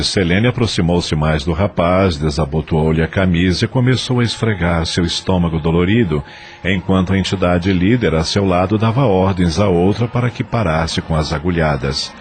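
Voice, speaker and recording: A man's voice: low-pitched, a little hiss or hum. A man with a Brazilian accent narrating an audio novel in a scripted, dramatic style.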